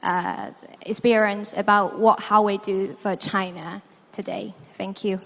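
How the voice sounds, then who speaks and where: A woman talks about her company on a conference panel in hesitant non-native English. Her pitch sits in the middle. Long hall reverb surrounds her voice. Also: steady tone, unhurried, creaky. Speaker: panelist, business development.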